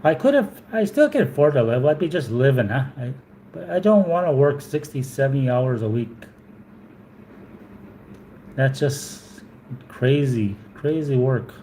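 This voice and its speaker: A man's voice: medium pitch, very animated, measured pace, pauses often, dark tone, slight background noise, poor audio. A man from Alberta with a Canadian accent, chatting casually on a live stream, answering viewers and repeating his words as he rambles.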